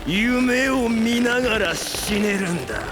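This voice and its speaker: A man's voice: sits high, lively intonation, slow. A man playing an arrogant, sadistic supervillain in a Japanese audio drama, speaking in a haughty, theatrical way. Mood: gloating, cruel. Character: arrogant, sadistic male supervillain toying with victims. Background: prominent music.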